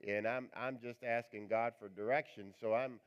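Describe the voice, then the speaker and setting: A male pastor with a raspy voice, preaching a live church sermon in a chatty, joking, rambling way. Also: medium pitch, fairly even intonation, moderate pace, muffled recording. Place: church sanctuary.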